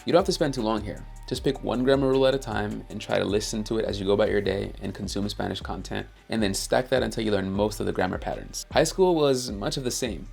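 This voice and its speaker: A man's voice: fairly low pitch, gravelly, a little mellow. A young man from Haiti whose first language is Haitian Creole, telling his own story in an earnest, upbeat scripted narration. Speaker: language-learning YouTuber.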